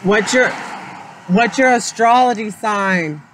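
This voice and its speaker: A man's voice: high voice, slow, fairly bright tone. A young man filming a street vlog from a handheld camera, outdoors and in a car, upbeat and repeating a stock thank-you phrase. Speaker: vlogger asking strangers their sign. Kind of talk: street vlog, asking passersby. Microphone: handheld camera at arm's length. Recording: noisy outdoor phone video.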